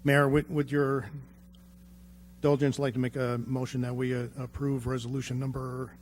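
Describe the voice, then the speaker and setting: A man's voice: mid-range voice, flat delivery, moderate pace, slight background noise. A man on the city council making remarks and a motion, hesitant with many fillers. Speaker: council member.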